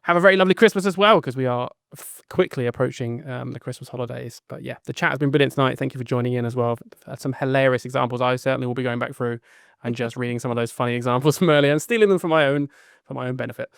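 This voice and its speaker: A man's voice: mid-range voice, very fast, vocal fry, fairly bright tone, smooth, no echo, clean studio sound. A British man hosting a teachers' webinar over a video call, upbeat and jokey, thanking guests a lot. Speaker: webinar host.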